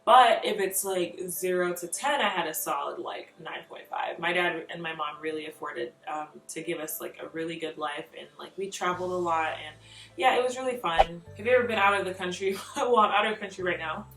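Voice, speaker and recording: A young woman vlogging, chatting through tag questions in an animated way, laughing often and filling her talk with "like.". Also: fairly low pitch, brisk. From Nigeria. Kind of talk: casual chat answering tag questions. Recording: roomy echo, mic a bit far off, faint music behind.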